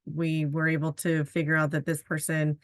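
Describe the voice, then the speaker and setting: A woman's voice: deep voice, little pitch movement, no echo, studio quality. A woman moderating a webinar panel, asking questions in a conversational way with frequent "um"s. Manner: conversational, fills pauses with "um".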